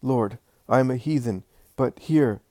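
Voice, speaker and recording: A man voicing an excited Roman officer who exclaims and stammers in amazement. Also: medium pitch, measured pace, no echo, pitched above the performer's own voice, natural voice. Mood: fervent.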